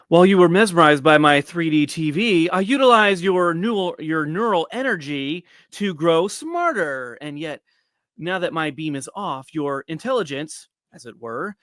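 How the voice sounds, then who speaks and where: A grandiose, bitter male mad inventor, acted by a man reading a film script. The voice is on the high side, with verbose, theatrical delivery and a wounded ego. The sound is very dry. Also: a bit bright, no room sound.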